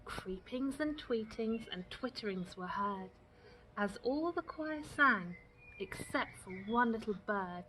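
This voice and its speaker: A woman with a fairly high voice reads a rhyming picture book for children. Her delivery is expressive and deliberate, shaped for storytime. Clear background noise runs through the recording. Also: low-quality recording. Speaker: storytime reader.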